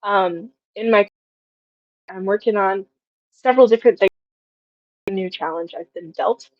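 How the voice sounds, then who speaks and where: A woman's voice: medium pitch, measured pace, dry recording. Young woman, dry slightly muffled recording. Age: 20s. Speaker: guest, audio drama writer. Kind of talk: casual interview, reflective storytelling.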